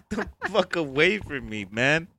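A man's voice: fairly high pitch, very animated, unhurried, pushed and bright. A man in his late twenties, a comedian guest on a podcast, telling rambling stories and swearing freely. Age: 20s. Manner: rambling storyteller, acts out quotes, swears freely. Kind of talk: casual comedy chat.

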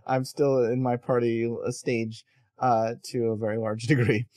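A man's voice: medium pitch, little pitch movement, measured pace, a little mellow, no room sound, clean studio sound. A man hosting a podcast interview, chatty and playful, often laughing as he talks. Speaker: podcast host. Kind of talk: casual interview chat.

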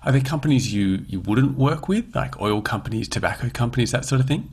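A man's voice: mid-range voice, animated, a little fast, husky. A man hosting an interview, asking long, winding questions in an appreciative way. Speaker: interviewer, host.

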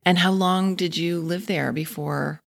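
A woman's voice: on the low side, steady tone, a little slow, creaky, dry recording, studio quality. A woman hosting an interview podcast, effusive and gushing over her guest in casual chat. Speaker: podcast host.

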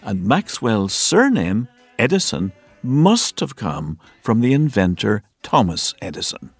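A man reading scripted documentary narration as a podcast narrator, with a husky, creaky voice. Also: lowish voice, very animated, measured pace, no room sound. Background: faint music.

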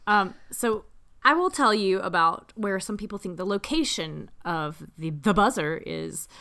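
A woman's voice: medium pitch, sing-song, unhurried, sharp tone. A woman in her thirties co-hosting a podcast in casual chat. She sometimes reads her research notes aloud. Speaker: podcast co-host.

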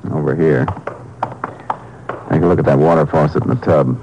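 An actor playing a calm, methodical Texas Ranger in a 1950s radio drama. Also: male voice, rumbling low, moderate pace. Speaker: lead ranger. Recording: noisy old radio broadcast.

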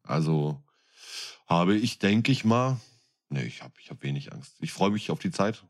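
A man's voice: low-pitched, animated, moderate pace. A man with a German accent talking casually and informally as a podcast co-host.

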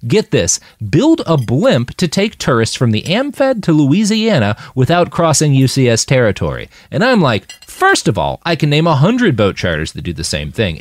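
A chatty middle-aged father from an audiobook, acted by a male narrator. He is opinionated and emphatic, with an incredulous edge. His intonation is very animated and lifted. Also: a little high, normal speed, few pauses, dry recording, studio quality, voice pushed up. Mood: amused, incredulous. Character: chatty, opinionated middle-aged banker dad telling anecdotes.

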